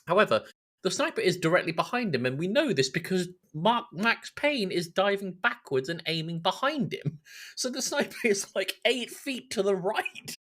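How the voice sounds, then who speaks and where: A man co-hosting a podcast, talking casually about films in an animated, mocking storytelling way. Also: sits high, normal speed. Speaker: podcast co-host. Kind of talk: casual film discussion.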